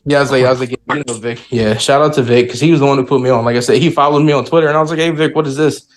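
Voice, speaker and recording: A man's voice: mid-range voice, fairly even intonation, very fast. A man from the United States chatting casually as a podcast guest, cocky and joking, often repeating himself. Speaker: podcast guest, sim league player. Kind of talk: casual chat.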